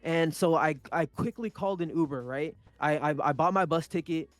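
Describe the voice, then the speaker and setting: A man's voice: fairly high pitch, moderate pace, dry recording, soft background music. Young man rambling through a story. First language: Punjabi. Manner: rambling, many restarts and swearing. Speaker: podcast co-host. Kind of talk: casual storytelling chat.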